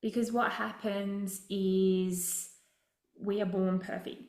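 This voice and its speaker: A woman leading a guided relaxation session as a wellness coach, speaking in deliberate, instructive phrases with frequent pauses. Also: medium pitch, flat delivery, a little mellow. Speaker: meditation and wellness session leader. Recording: roomy echo with music behind.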